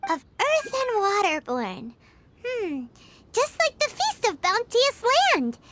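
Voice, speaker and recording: Very high, bubbly girl chef. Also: wildly swooping pitch, takes it slowly, fairly bright tone, dry recording, exaggerated voice. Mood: thoughtful. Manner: bubbly, thinks aloud to herself. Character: spirited, eager teenage girl chef. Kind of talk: animated game character acting.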